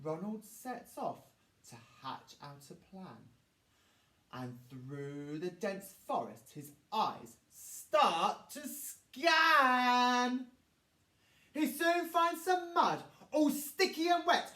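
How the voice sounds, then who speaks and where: High, very animated woman storyteller. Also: extremely slow. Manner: dramatic, expressive, addresses young listeners. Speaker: children's storyteller. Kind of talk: animated rhyming storybook narration. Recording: echoey room, distant from mic.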